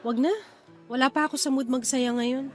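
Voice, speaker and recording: Actress as mourning wife, withdrawn and subdued; high-pitched radio drama voice over soft music. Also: female voice, fairly even intonation, moderate pace, lightly acted. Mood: withdrawn, listless. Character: grieving, withdrawn wife and mother. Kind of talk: radio drama dialogue acting. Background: music bed.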